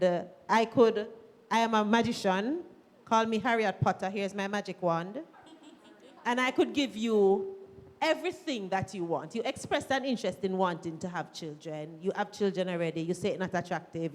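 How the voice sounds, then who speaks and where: A woman moderating a live panel discussion in a chatty, playful, teasing manner. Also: medium pitch. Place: event hall, live audience. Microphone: handheld mic. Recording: long hall reverb at live event.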